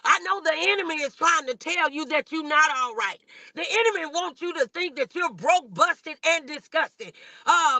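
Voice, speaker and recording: Woman, American accent, motivational sermon, high voice, intensely bright, exhorting, dry recording. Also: no room sound, low-quality recording. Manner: exhorting, calling on listeners with repeated rhetorical questions. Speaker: host and minister preaching morning motivation. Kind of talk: motivational sermon to an online audience.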